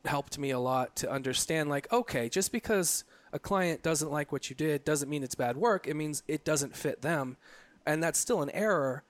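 A man in his late thirties, a photographer, talking in a relaxed interview, reflective and rambling with many fillers and restarts. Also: on the high side, normal speed, hardly any pauses, no room sound. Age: 30s. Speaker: guest, photographer. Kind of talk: casual interview conversation.

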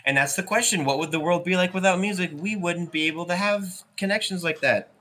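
A man's voice: high-pitched, fairly quick, sharp tone, a little hiss or hum. A young man in his twenties, co-hosting a podcast, talking casually with many ums and restarts. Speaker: podcast co-host.

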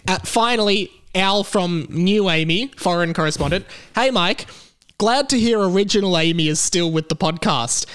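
A man's voice: high-pitched, normal speed, a bit bright, clear, resonant tone. A man with an Australian accent hosting a casual comedy podcast over a video call, chatting and reading out listener mail. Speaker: podcast host. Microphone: remote video call recording.

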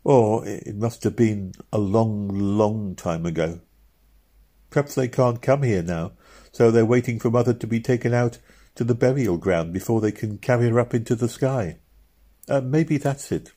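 A man voicing an earnest little girl who soothes her younger brother in a soft, hesitant way. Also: lowish voice, moderate pace, voice pushed up, close to an everyday voice. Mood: earnest, gentle. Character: earnest little girl, protective older sister.

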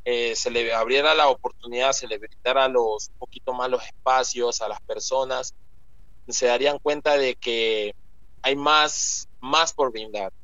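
Young male guest, mid pitch, rambling and filler-heavy, lo-fi recording. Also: steady tone, unhurried, pushed and bright, dry recording. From Ecuador. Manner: rambling, with many fillers and restarts. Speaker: guest author. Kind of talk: casual interview talk. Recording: muffled lo-fi sound.

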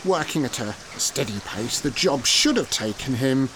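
An actress playing a cautious, precise family member who sticks to schedules, in an audio comedy drama. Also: female voice, extremely low pitch, expressive, normal speed, noisy background. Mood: reasoning, cautious.

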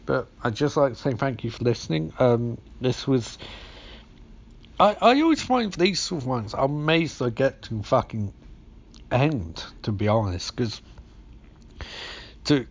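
A podcast host with a hoarse, gravelly voice rambles through a movie recap, swearing freely. His pitch sits mid-range for a man. The recording is dull and lo-fi. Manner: sweary, rambling, acts out scenes. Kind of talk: casual profane movie recap.

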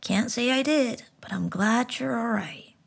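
A woman voicing a small, kind talking frog that speaks warmly and with amusement. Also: a little high, a little slow, gravelly, breathy edge, pitched above the performer's own voice, heavily acted. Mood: gentle amused. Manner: warm, amused, whispery.

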